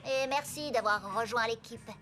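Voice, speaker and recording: A woman playing a bossy, suspicious, competitive schoolgirl in a French animated cartoon, emphatic and sharp-tongued. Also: a little high, normal speed. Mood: grudging, indignant. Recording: music behind the voice. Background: cartoon music.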